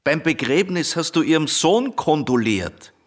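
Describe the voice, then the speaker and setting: An actor playing a patient Viennese family man, gently explaining things to a confused old woman in a radio drama. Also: on the high side, big pitch swings, measured pace.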